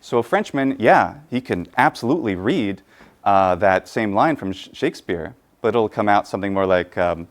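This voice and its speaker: A man's voice: lowish voice, lively intonation, moderate pace. Guy lecturing at a conference, lots of uh and um and restarts. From United States. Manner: thinking aloud with many fillers and restarts. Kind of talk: conference talk. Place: conference room.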